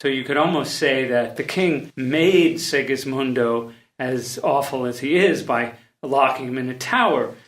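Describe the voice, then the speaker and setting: A man speaking thoughtfully, in halting phrases, as he offers analysis in a group discussion. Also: medium pitch, fairly bright tone. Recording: roomy echo around the voice.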